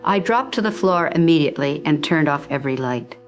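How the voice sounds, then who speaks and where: A woman playing a frightened resident in a radio drama, giving an eyewitness account in short, halting phrases. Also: low-pitched, expressive, faint music behind. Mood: shaken, fearful. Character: frightened female resident hiding at home.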